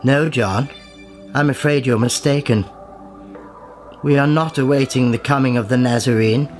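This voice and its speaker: Man acting as sinister lord, radio drama, pompous, old-fashioned upper-class phrasing, genial menace, clear music behind. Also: mid-range voice, close to an everyday voice. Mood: gleefully menacing. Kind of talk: period radio play acting.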